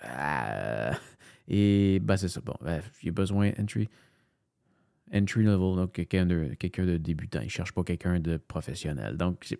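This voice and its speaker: Man with a deep, gravelly French-Canadian voice. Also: darkish tone, dry recording, clean studio sound. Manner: rambling, many fillers and restarts, thinking aloud. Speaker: voice-over narrator. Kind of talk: casual screen-share commentary.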